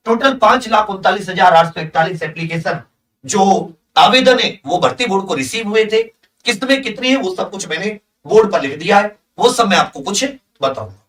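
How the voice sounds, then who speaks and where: A man's voice: high voice, animated, moderate pace, fairly bright tone, far from the mic. A man speaking as an exam coaching teacher to students, emphatic and motivational, often repeating phrases for stress. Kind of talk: energetic exam-prep announcement to students.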